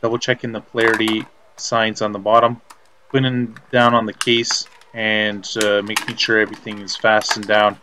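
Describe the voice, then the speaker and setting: A man giving step-by-step instructional narration as a repair technician, in a halting, plain-spoken way. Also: lowish voice, little pitch movement, noisy background. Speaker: repair technician presenting a tutorial.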